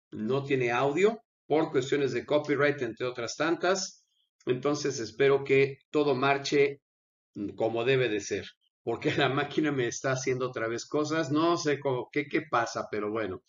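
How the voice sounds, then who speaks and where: Unwell man, lo-fi commentary. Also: medium pitch. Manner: earnest, pleading requests to viewers. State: unwell, with coughing fits. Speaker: channel host. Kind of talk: conversational commentary over a video.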